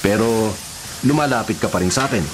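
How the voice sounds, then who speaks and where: An actor playing a big, steady male cell leader who speaks calmly and with authority in a radio drama. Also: lowish voice, brisk, background noise, lightly acted. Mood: persuasive. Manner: calm, firm, authoritative. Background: music.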